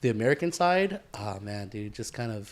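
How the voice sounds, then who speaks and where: Animated, slangy man chatting casually. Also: fairly low pitch, a little fast. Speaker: podcast co-host. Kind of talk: casual chat.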